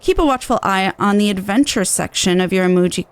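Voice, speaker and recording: A woman game streamer reading game text aloud and commenting as she plays, in an expressive way. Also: mid-range voice, fairly quick, smooth, no room sound. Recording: game music behind the voice. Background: video game music.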